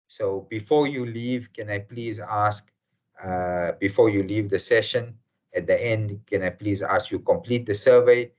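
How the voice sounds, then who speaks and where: A man from South Africa hosting an online workshop session, speaking slowly with many fillers over a video call. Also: lowish voice. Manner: slow and hesitant, many fillers. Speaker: webinar host. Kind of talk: formal welcome to an online session. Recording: muffled video call audio.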